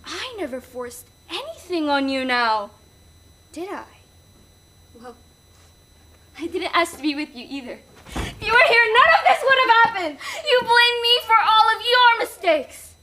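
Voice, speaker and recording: Casting: young actress playing cold, taunting female accuser, high sharp voice, unhurried stage delivery. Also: sing-song, full character voice. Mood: accusing. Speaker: student actor in a one-act play. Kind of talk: stage drama acting.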